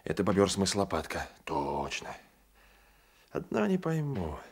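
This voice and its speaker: An actor playing a blunt, loyal provincial Cossack sergeant, folksy and emphatic, in a radio play. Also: male voice, low voice, wildly swooping pitch, unhurried, gravelly, husky, full character voice. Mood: wry. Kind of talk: Soviet radio play acting.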